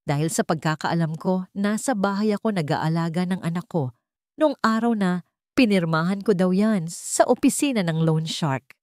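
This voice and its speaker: A woman's voice: on the low side, expressive, moderate pace, darkish tone, no room sound, close to an everyday voice. Woman acting bitter, defiant wronged wife, dry close sound. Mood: cold challenge. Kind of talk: dramatized audiobook dialogue.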